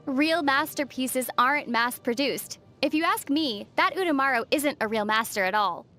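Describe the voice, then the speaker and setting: A woman playing a gentle, thoughtful young female traveler in video game dialogue, speaking in a measured, soft-spoken way. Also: sits high. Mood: gently teasing. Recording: faint music under the voice. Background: faint background music.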